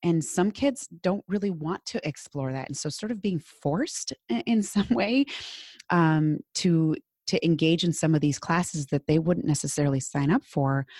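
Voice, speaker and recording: A woman's voice: low-pitched, normal speed, a little mellow, dry recording, clean studio sound. A woman from Minnesota with a Minnesotan accent, a business coach chatting as a podcast guest, full of fillers and restarts. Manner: chatty, many fillers and restarts, laughs easily. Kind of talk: casual interview chat.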